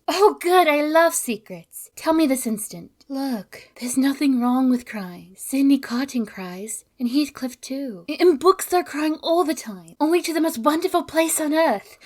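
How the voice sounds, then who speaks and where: A woman's voice: high-pitched, darkish tone. A woman playing a curious, prim young girl who loves books. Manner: prim, eager.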